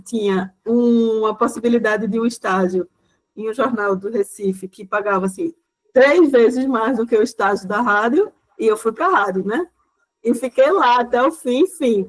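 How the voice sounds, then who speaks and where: A woman speaks as a guest lecturer to a class. Her voice sits a little high. She talks in a loose, chatty way and keeps tagging her sentences with little fillers. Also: moderate pace, rough recording. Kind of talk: informal talk to a class.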